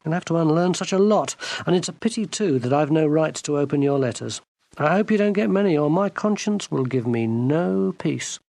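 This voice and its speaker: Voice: mid-range voice, expressive, normal speed, vocal fry, a little mellow, dry recording, pitched above the performer's own voice. A storyteller voicing a suave, bland grown man, mild and dry and never ruffled.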